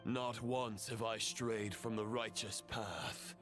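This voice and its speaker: A solemn phantom of a fallen knight in a video game. The voice is on the low side and very unhurried, with cold conviction and archaic, formal phrasing. Dramatic music plays clearly behind it. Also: male voice, fairly even intonation. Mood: solemn, grave.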